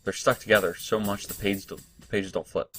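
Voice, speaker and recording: A man with a fairly low voice narrates his own let's play. He reads the on-screen story text aloud, then breaks off to work through it in halting, casual remarks. Soft game music plays underneath. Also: steady tone. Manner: halting, thinks aloud with restarts. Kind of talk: reading game text and reacting.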